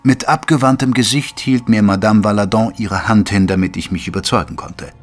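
A man playing an earnest Victorian doctor who narrates a detective audio drama in a measured, literary way. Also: fairly low pitch, faint music behind, natural voice. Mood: measured, grave. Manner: measured, literary storytelling delivery. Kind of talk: scripted German audio drama narration with dialogue.

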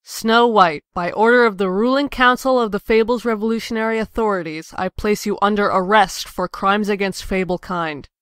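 A smooth, clear-voiced young female rebel played by a woman in a comic dub, recorded dry. She is cocky and defiant, making speeches with a taunting edge. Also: medium pitch, moderate pace, few pauses, a bit bright, dry recording, close to an everyday voice. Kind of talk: amateur comic dub acting.

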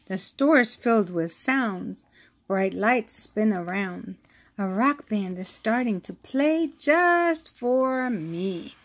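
A woman reading a children's picture book aloud, with an expressive storytelling delivery. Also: medium pitch. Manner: slow, expressive reading with acted-out sound effects.

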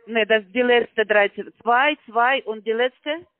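Slow, high-voiced man on a tinny phone line. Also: no room sound. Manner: halting, slow, non-native German grammar, repeats digits back. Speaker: call-center scammer. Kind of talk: phone call.

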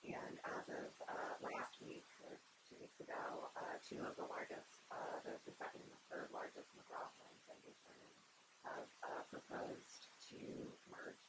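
A woman's voice: normal speed, heavy background noise. A young woman giving a conversational keynote talk at a podium on an auditorium stage, speaking into the podium microphone with frequent fillers and restarts.

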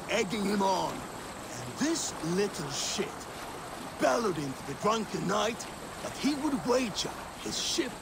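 A swaggering Viking braggart in a video game, high and gravelly, swooping animatedly through his tale with rowdy theatrical relish. Water and boat noise sit clearly behind him. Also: exaggerated voice. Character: boastful, rowdy, foul-mouthed male Viking raider telling his tale. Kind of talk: animated boastful storytelling.

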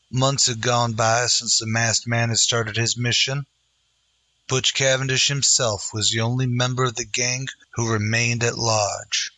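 A man's voice: mid-range voice, sharp tone, no room sound. A man narrating a scripted western in dramatic old-time radio style.

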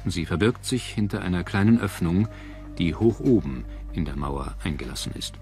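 A man's voice: low-pitched, normal speed, darkish tone. A man reading scripted documentary narration as the narrator. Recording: music under the voice. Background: background music.

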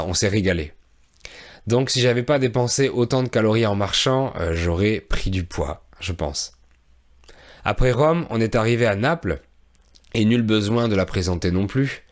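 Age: 30s. Sex: man. Tone: low-pitched, calm, measured French teacher. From France. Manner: clear, measured delivery with soft "euh" fillers. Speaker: French teacher and podcast host. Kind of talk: relaxed storytelling for language learners. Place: small indoor room. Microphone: studio microphone on a boom arm, close.

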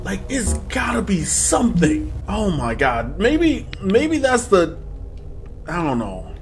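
Animated young man doing game commentary. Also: high-pitched, slow pace, soft background music. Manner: animated, excitable, slangy, talks to viewers. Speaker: gaming video host. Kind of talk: casual horror game playthrough commentary. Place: small room at home, indoors.

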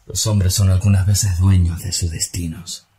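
A man's voice: deep voice, flat delivery, normal speed, warm tone, close to an everyday voice. A man playing a bitter, sneering Roman conspirator. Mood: scornful. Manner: declamatory, sneering, mocking. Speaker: stage actor. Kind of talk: theatrical monologue.

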